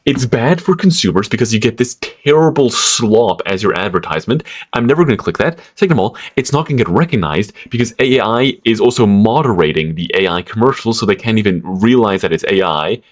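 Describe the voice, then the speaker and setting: A man's voice: fairly low pitch, lively intonation, fairly quick, few pauses. A young man from Switzerland ranting casually to camera, rambling with stammered restarts, lots of fillers and swearing. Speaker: vlogger.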